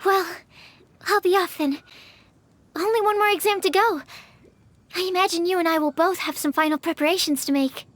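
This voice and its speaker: A woman's voice: extremely high pitch, moderate pace, long pauses. A woman voicing a studious, earnest young female alchemist in an anime-style game, explaining things precisely and politely. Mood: polite, apologetic.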